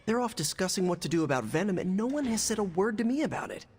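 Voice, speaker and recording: An eager young superhero from a video game. He sounds anxious and excitable, high and very animated, in long reverb. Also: fast talker, breathy edge. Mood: frustrated. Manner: excitable, aggrieved. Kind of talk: cinematic video game acting. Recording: long reverb, faint music behind.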